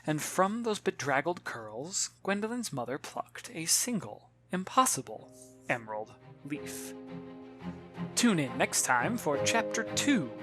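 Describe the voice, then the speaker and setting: A narrator reading a children's novel as expressive audiobook storytelling, dramatic and clearly articulated, with wry asides. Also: medium pitch, a little slow, over music. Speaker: author reading own novel.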